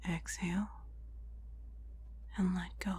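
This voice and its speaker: Woman doing whispery asmr meditation, breathy n soothing, really slow, bit of background noise. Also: fairly low pitch, little pitch movement, darkish tone. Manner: slow, soothing, deliberate phrasing with long pauses. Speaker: guided meditation narrator. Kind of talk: whispered guided relaxation, ASMR-like scripted narration.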